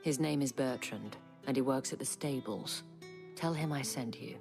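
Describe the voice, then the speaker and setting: An actress playing a poised, knowing madam of influence in a video game, measured, formal and coolly sly. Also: female voice, very deep voice, over music. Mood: cautioning, knowing. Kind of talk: video game cutscene acting.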